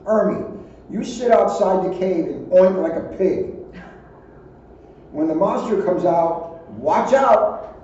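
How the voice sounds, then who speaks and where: A man's voice: high voice, takes it slowly, pauses often, dark tone, very echoey, recorded from a distance, lo-fi sound, slight background noise. A man voicing a bold, commanding male adventurer in a storybook reading. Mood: bossy, scheming.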